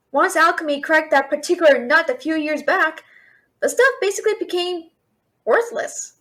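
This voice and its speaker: A woman playing a brash, fast-talking young female thief and failed alchemist in a fantasy audio roleplay, chattering with sarcastic, exasperated bluster. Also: high voice, fairly bright tone. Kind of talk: fantasy audio roleplay, addressing an unseen listener.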